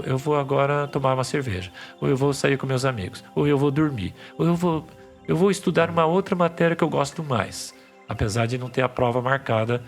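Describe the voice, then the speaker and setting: A man, a psychiatry professor, patiently explaining ideas as a podcast guest, often restarting his phrases. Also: medium pitch. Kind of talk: podcast interview.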